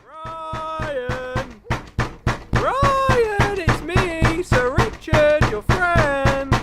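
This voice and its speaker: An actor playing a pompous, self-absorbed and easily exasperated knight in a comedic fantasy audio drama. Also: male voice, extremely high pitch, expressive, very slow, loud music behind the voice, full character voice. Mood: shouting, impatient. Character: pompous, excitable, self-absorbed knight, bossy with his companion.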